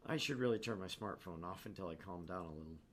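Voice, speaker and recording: A man voicing a haunted young male student who narrates his anguish in a brooding, measured way in a visual novel. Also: low voice, close to an everyday voice. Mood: brooding, shaken. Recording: clear background noise.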